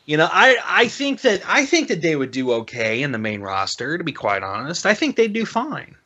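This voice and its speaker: A man co-hosting a podcast, chatting about wrestling in an informal, animated way. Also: fairly high pitch, normal speed, muffled recording. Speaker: podcast co-host. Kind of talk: casual chat about wrestling. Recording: noticeable background noise.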